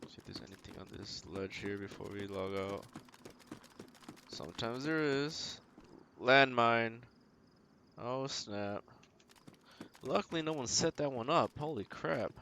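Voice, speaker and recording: A man's voice: mid-range voice, lively intonation, unhurried, vocal fry, long pauses, sharp tone. A man giving casual gameplay commentary as a streamer, talking to himself in short remarks. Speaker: game streamer. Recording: noisy mic with clicks and keyboard typing. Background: keyboard typing and clicking.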